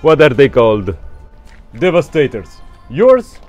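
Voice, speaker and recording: A man's voice: mid-range voice, sing-song, a little slow, muffled recording, music in the background. Cocky Polish man, played by a man, broken English, put-on Polish accent. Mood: boastful. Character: boastful Polish man bragging about ammo.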